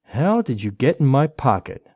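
A man playing a hunted, distraught husband and father in an audiobook, halting and urgent. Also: mid-range voice, sing-song, darkish tone, breathy edge, dry recording, highs cut off. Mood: soft, puzzled.

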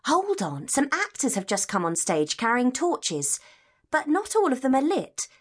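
A curious, observant female student takes part in an educational audio drama. Her voice is fairly high and very animated, with an eager, questioning manner. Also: measured pace, slightly rough, exaggerated voice. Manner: inquisitive, eager, expressive.

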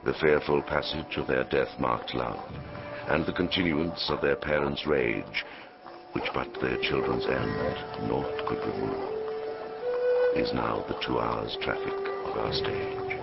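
An actor playing a solemn chorus in a stage tragedy, reciting verse in a grave, measured, gravelly voice. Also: male voice, extremely low pitch, huge pitch swings, heavily acted. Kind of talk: theatrical verse prologue recitation. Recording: dull audiobook sound under loud music.

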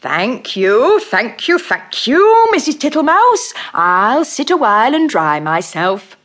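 A woman voicing a slow, smiling, greedy male toad who drawls his words. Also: a little high, big pitch swings, a bit bright, voice pushed up, exaggerated voice. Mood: drawling. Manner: slow, drawling, cheerfully pushy.

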